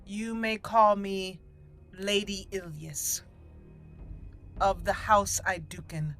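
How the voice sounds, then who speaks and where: A woman's voice: mid-range voice, fairly even intonation, slow pace, a bit bright, soft background music. A woman roleplaying a proud young elf mage noble who speaks formally. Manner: formal, stately phrasing.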